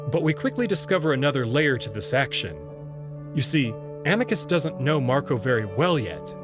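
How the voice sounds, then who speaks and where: A man giving scripted narration and commentary as a host, with wry, playful asides. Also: medium pitch. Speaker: host reading a visual novel and commenting on it.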